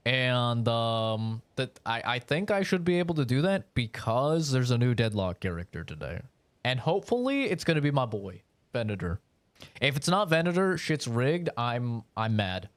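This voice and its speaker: A man's voice: medium pitch, very animated, moderate pace, dry recording. A young man chatting casually while streaming a game, rambling and swearing in a laid-back way. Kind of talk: casual live-stream chat while gaming.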